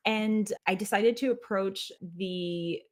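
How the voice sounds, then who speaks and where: A woman's voice: mid-range voice, takes it slowly. A woman in her thirties from Quebec whose first language is French, hosting a teaching webinar in a chatty, self-deprecating way, laughing often and filling with "like". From Quebec, Canada. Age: 30s. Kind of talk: casual webinar talk.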